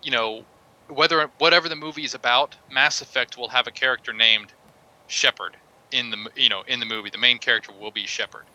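A man on a podcast panel in a casual debate, ranting in an animated, mildly profane way. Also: mid-range voice, brisk, low-quality recording.

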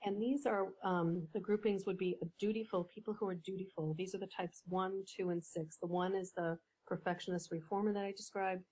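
A woman coach, a podcast guest, explaining ideas in a measured way with some fillers. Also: mid-range voice, steady tone, dark tone, a little hiss or hum, rough recording. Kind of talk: podcast interview.

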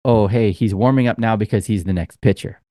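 Podcast co-host, male, lowish voice, dry close sound, loose rambling storyteller. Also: a little fast, mellow, no room sound. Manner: rambling storyteller, many fillers. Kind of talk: casual chat.

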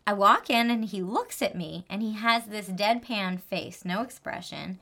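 A woman from New Jersey with a slight New Jersey accent, a dating coach telling a story in an expressive, animated way in casual chat. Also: mid-range voice, a little slow, creaky.

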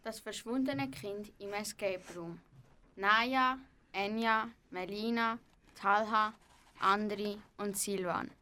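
A young female narrator reads an amateur radio play script. Her voice is bright, of middling pitch. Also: steady tone, slow.

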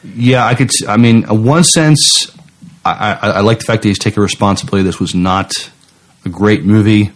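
A man co-hosting a podcast, chatting casually in a measured, somewhat tentative way and at times reading news copy. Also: on the low side. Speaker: podcast co-host.

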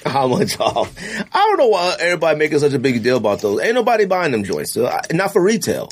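A man hosting a casual podcast, animated, opinionated and full of slang. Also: fairly high pitch, fast. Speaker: podcast host. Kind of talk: casual chat.

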